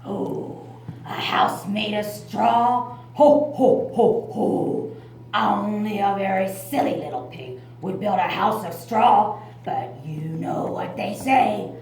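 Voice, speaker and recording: A woman voicing a hungry, menacing big bad wolf for a children's puppet show, with theatrical drawn-out threats and a gravelly growl. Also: medium pitch, darkish tone, voice pushed down. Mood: gloating, sly. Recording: echoey room, far from mic, faint noise.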